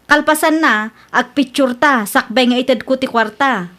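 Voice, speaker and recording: A woman's voice: high voice, unhurried, a bit bright. A woman playing a firm, cautious moneylender in an amateur radio drama, businesslike and insistent.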